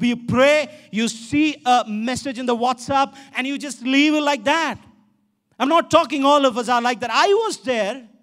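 A male pastor with an Indian accent delivers a sermon in a strikingly high-pitched voice. He is emphatic and exhorting, and he repeats key phrases to press them home. He speaks into a handheld microphone. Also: fairly bright tone. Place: large stage in an indoor auditorium.

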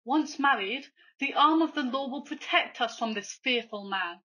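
Woman playing grave melodramatic victorian suitor, bright high voice, slightly muffled. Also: animated, moderate pace, heavily acted. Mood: uneasy, ardent. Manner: florid, grave, formal. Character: anxious, melodramatic Victorian gentleman lover. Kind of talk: theatrical farce radio play acting. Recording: dull lo-fi home recording.

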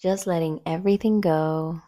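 A woman guides a meditation in a slightly low, mellow voice. She speaks very slowly and gently, leaving space between short instructions. The recording is dry and close, with little sense of a room. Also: steady tone, no room sound. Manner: gentle, soothing, unhurried instructing with long pauses. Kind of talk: slow guided meditation narration.

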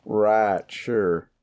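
A man playing a gruff, world-weary cowboy bounty hunter in a Western audio roleplay, with a folksy, threatening yet wry manner. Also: fairly low pitch, darkish tone. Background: guitar music.

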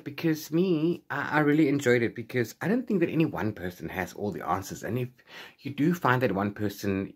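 A man's voice: mid-range voice, breathy edge. A man in his forties chatting casually to camera as a book vlogger, playful and rambling, often correcting himself, recorded at arm's length in a room at home. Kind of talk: casual unscripted chat to camera. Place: small room at home with bookshelves.

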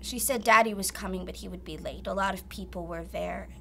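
A woman playing a grown woman with a child's mind in an audio drama, speaking simply and haltingly. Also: mid-range voice, vocal fry, fairly bright tone, slight background noise. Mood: childlike, earnest.

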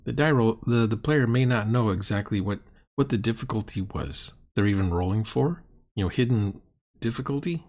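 A man's voice: fairly low pitch, moderate pace, hardly any pauses, darkish tone. A middle-aged man giving casual, sardonic and critical commentary on a roleplaying game.

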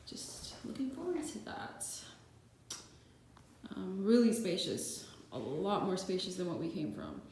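A woman's voice: on the low side, big pitch swings, unhurried, mellow. A woman chatting informally and off the cuff to her viewers, as in a home vlog. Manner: informal, unscripted, trailing thoughts. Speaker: vlogger. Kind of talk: casual vlog chat to viewers. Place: indoors, empty apartment and lived-in home. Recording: echoey rooms with clear background noise.

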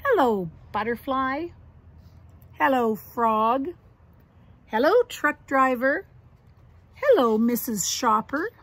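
A woman's voice: high voice, expressive, slow, pauses often, a bit bright, full character voice. A woman voicing a friendly, curious little girl bear cub in a storybook, with eager greetings and questions. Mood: cheerful, eager.

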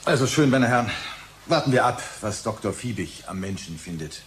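An actor playing a smooth-talking, needling company boss in audio drama dialogue. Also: male voice, mid-range voice, animated, moderate pace, slight background noise, natural voice. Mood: needling, conciliatory. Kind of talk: dialogue radio-play acting.

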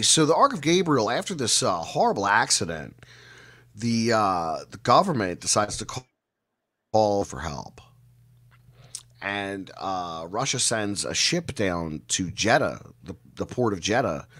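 Man, middle-aged, lower pitch, conversational storyteller, measured delivery, dramatic pauses. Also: big pitch swings, a bit bright. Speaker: guest storyteller and researcher of mysteries. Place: indoor room, video call.

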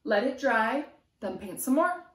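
A woman's voice: on the high side, sing-song, a little slow. A woman in her thirties, a preschool teacher reading aloud expressively to young children, with rhythmic, emphatic delivery. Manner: rhythmic, sing-song, emphatic on sound words. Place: small room at home, indoors. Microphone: camera a few feet away. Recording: roomy echo from camera distance.

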